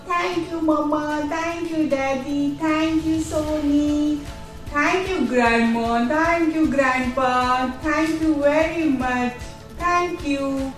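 A woman acts out a sweet little boy in a children's puppet show. The voice is very high and childlike, with very slow, drawn-out words in a strongly echoing room. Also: fairly even intonation. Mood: grateful. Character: sweet young birthday boy, wistful, grateful. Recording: echoey room, distant mic, music behind.

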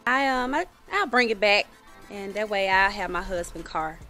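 A woman's voice: medium pitch, lively intonation, normal speed, pushed and bright, soft background music. A young woman with a Memphis Southern accent playing a sweet, polite customer in an outdoor comedy skit. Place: outdoors in a suburban driveway.

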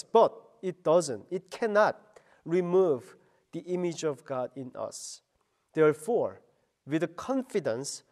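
A middle-aged man, a pastor giving an earnest, slow radio devotion sermon. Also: a little high, darkish tone.

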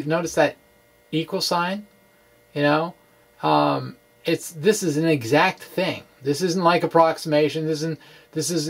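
A middle-aged man giving an informal physics explanation to camera, speaking haltingly in a wry, quirky way with playful asides, into a microphone in a small room. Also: on the high side. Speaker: physics blogger and presenter. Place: small indoor room with bookshelves. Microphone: microphone on a stand in front of him.

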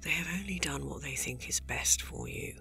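Actress as older nanny, creaky voice, gentle, protective, audio drama. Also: female voice, a bass voice, moderate pace, soft background music, exaggerated voice. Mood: hushed, soothing.

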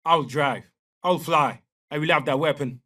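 An actor with a French accent playing a confident young special forces soldier in a military shooter game, giving terse, clipped callouts. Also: male voice, fairly high pitch, a little slow, bright, forceful tone, distant mic. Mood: focused. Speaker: video game operator.

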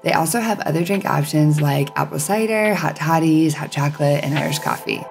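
A woman's voice: deep voice, fairly quick, creaky. A woman in her thirties reading scripted voice-over narration for a travel vlog. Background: faint background music.

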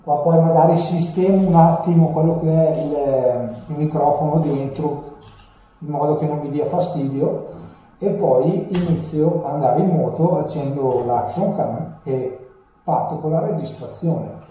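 A man in his thirties giving a casual, unscripted tutorial in a halting, hesitant way. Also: fairly high pitch, dark tone, slight background noise. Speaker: motovlogger presenting a tutorial. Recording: echoey room, distant camera mic.

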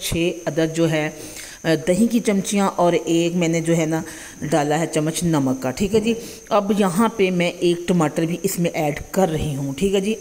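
A young woman with a Punjabi accent talks viewers through a home recipe, step by step. Her voice sits a little low. She is chatty and keeps checking in with her audience. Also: darkish tone. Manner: chatty, repeats "theek hai ji" often. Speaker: cooking channel host. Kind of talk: home cooking tutorial voice-over. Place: home kitchen.

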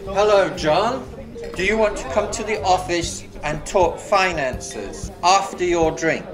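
Man playing a slow, polite hotel manager. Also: sits high. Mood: courteous. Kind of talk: amateur radio play acting. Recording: music playing loudly over the voice.

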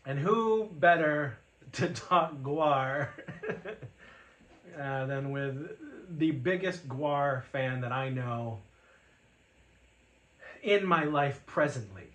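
Homem de quarenta e poucos, voz projetada. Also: male voice, medium pitch, animated, extremely slow, long pauses, roomy sound, lo-fi sound. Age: 40s. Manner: loud, projecting voice, chuckles often. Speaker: podcast host. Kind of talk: casual chat. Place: living room full of shelves.

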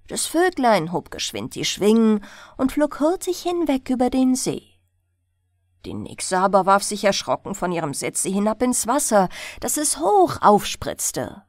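A woman reads a fairy tale for bedtime in a fairly high voice. She takes her time and moves her pitch expressively, in the manner of an audiobook storyteller. The sound is close and dry. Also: darkish tone, no echo. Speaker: audiobook narrator.

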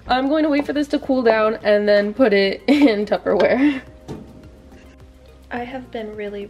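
A woman's voice: fairly high pitch, a little mellow. Chatty young woman vlogging to camera. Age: young adult. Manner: chatty, rambling, filler-heavy. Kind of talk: casual vlog chat. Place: grocery store, car and bedroom. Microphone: handheld camera at arm's length.

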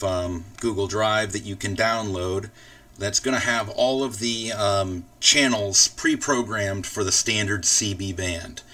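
A man hosting a casual software walkthrough, explaining things informally step by step. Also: lowish voice, distant mic. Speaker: tutorial host.

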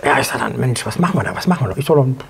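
A man in his fifties telling anecdotes as an interview guest, excitable and acting out dialogue in short bursts. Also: mid-range voice, very fast, gravelly, background noise. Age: 50s. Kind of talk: animated anecdotal storytelling. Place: small indoor studio set at a table.

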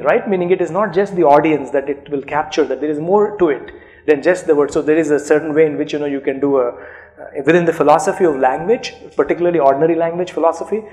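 A man's voice: fairly high pitch, a little mellow. A middle-aged man with an Indian accent, a native Urdu speaker, speaking thoughtfully as an author in an academic conversation, with many fillers and restarts. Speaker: author being interviewed. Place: seminar room, indoors. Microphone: handheld microphone.

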